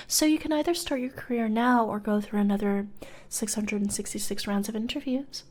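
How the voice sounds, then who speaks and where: A woman playing a polite, blasé demon HR interviewer in a soft-spoken ASMR role-play, partly whispered, with a casual, hesitant, dryly bureaucratic manner. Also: medium pitch, close to an everyday voice. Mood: polite, dryly teasing.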